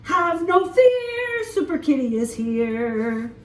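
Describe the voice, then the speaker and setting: Woman as a high-voiced, eager little boy kitten. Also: very animated, takes it slowly, reverberant, far from the mic, heavily acted. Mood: heroic. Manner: bright, eager, childlike. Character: cheerful easygoing young male kitten.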